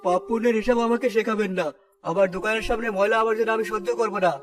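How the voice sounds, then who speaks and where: A harsh, haughty shopkeeper played by a man from a cartoon drama. His voice sits high, scolding and looking down on everyone, with music clearly behind him. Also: fairly even intonation, racing, close to an everyday voice. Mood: angry, scolding. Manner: scolding, contemptuous, domineering. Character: harsh, haughty male sweet-shop owner obsessed with cleanliness. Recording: clear background music throughout.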